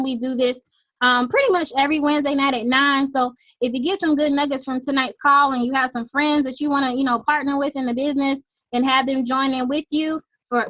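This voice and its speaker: A woman hosting a team sales call in an upbeat pitch, her voice a little raspy from losing it, with lots of "um" fillers. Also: fairly bright tone, dry recording, low-quality recording. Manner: enthusiastic, frequent "um" fillers.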